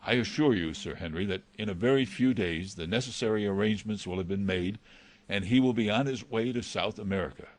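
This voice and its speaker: A man's voice: on the low side, a little fast, lightly acted. A man playing an anxious, loyal butler, hesitant, formal and respectful, in a dramatised reading of a classic novel. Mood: anxious pleading. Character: deferential, anxious male butler, pleading and loyal.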